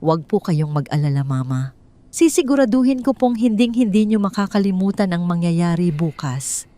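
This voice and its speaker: A woman narrator plays a middle-aged wife in a dramatic story, polite and tightly controlled. Her voice is soft-edged and mellow, a little low, with a quietly calculating composure. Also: animated, moderate pace, close to an everyday voice. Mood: composed.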